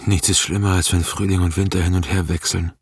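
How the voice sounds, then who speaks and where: Deep, brooding, creaky man. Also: steady tone, brisk. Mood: bitter brooding. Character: brooding, bitter man voicing grim questions. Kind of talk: radio drama acting. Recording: loud music under the voice.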